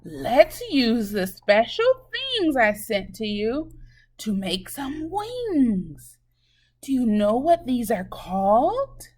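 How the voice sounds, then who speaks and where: A woman preschool teacher leading a children's craft lesson in an animated, sing-song way, asking questions and answering them herself. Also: a little high, slow pace.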